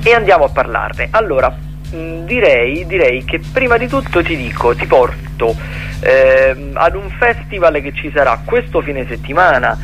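A man chatting about cultural listings on the radio, with hesitant, drawn-out phrasing. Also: fairly high pitch, fairly bright tone, rough recording.

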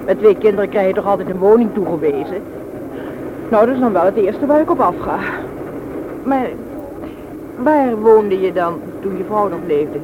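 A woman's voice: medium pitch, lively intonation, moderate pace, pauses often, phone-quality sound, natural voice. An actress playing a forward, businesslike single woman who probes a suitor in a Dutch radio play. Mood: probing, bossy. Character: brisk, bossy, practical single woman sizing up a suitor. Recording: noisy old radio recording.

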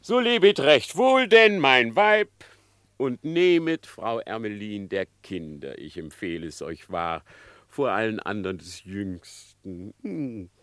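Sly, self-important fox with a low voice. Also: male voice, sing-song, takes it slowly, fairly bright tone, dry recording, full character voice. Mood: fond, emphatic. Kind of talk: dramatised verse fable, German audio play.

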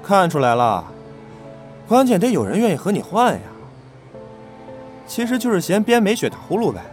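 A man's voice: sits high, very animated, normal speed, darkish tone, soft background music. A man playing a teasing, flippant young idol in a Chinese audio drama, talking in playful banter. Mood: bantering, mischievous.

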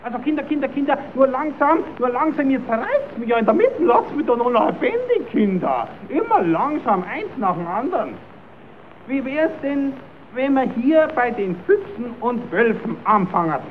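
Very high-voiced puppet clown, cheeky, scheming, exclamatory, thin tinny hissy old radio recording. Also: male voice, big pitch swings, moderate pace. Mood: flustered. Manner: exclamatory, self-addressing, stammers when flustered. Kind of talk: old German children's radio play.

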